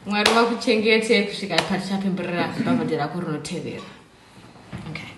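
A woman's voice: lowish voice, fast, noisy background. A young woman joking and giggling with friends at a dinner party. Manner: playful, giggly. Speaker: guest. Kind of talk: casual chat. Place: dining room, indoors. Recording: echoey room with chatter.

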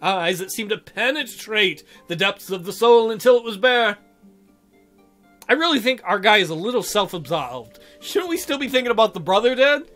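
Male let's-play narrator, bright and high, theatrical reader with joking asides, faint music underneath. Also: lively intonation, moderate pace. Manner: jokey, theatrical, breaks into silly mock voices. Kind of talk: reading a visual novel aloud with joking commentary. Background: game music.

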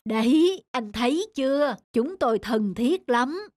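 A woman voicing a sly hawk villain who feigns kindly friendship, smooth-talking and persuasive, in an animated folktale. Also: high voice, a little slow, no echo. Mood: falsely friendly. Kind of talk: animated children's folktale acting.

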